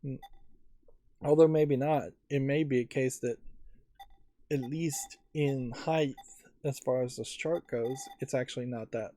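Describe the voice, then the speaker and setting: A man giving musing game commentary, thinking aloud in a halting way. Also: medium pitch, fairly even intonation, mellow, no room sound. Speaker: let's play host. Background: faint game music.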